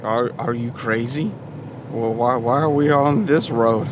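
Man acting a slow-talking drunk, defensive guy. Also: mid-range voice, natural voice. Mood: nervous, defensive. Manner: slow, halting, tipsy. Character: drunk, superstitious, easily spooked man, defensive tough guy. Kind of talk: audio drama dialogue. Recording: car noise behind the voice.

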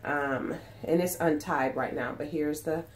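A low-pitched woman speaks in a nasal, stuffed-up voice because of a cold. She rambles in a chatty way, full of ums and false starts, as she talks to a webcam in a small room at home. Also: unhurried. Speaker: sewing vlogger. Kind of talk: casual chat. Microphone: webcam at desk distance.

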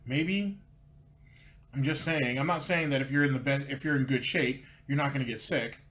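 Male host, mid-pitched, dry and sarcastic, mocking what he just played. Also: moderate pace, fairly bright tone. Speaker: commentary host. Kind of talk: sarcastic commentary.

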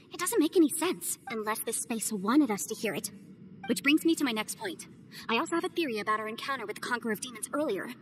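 A woman's voice: fairly high pitch, slight background noise, close to an everyday voice. An actress playing a confident young woman legal adviser, earnest and reasoning things out aloud. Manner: analytical, earnest, persuasive.

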